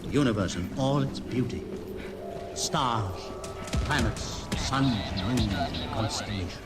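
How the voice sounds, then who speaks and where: An actor playing a commanding, learned rebel leader on a starship, measured and superior, in an old-time radio drama. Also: male voice, fairly low pitch, animated. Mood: grand, awed. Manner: theatrical, measured, superior and persuasive. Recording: old radio broadcast with loud music.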